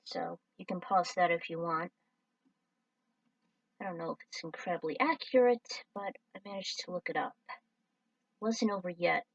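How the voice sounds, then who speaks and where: A woman's voice: lowish voice, normal speed, creaky, long pauses, no echo, lo-fi sound. Woman, casual fan-story reader, offhand delivery, distant mic, dry room. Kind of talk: reading aloud a fan story.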